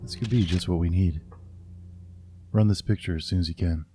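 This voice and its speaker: An actor playing a jaded, wary veteran cop in a crime audio drama, with a dry, sardonic, gruff delivery. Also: male voice, sits low, fairly even intonation, normal speed, music in the background, close to an everyday voice.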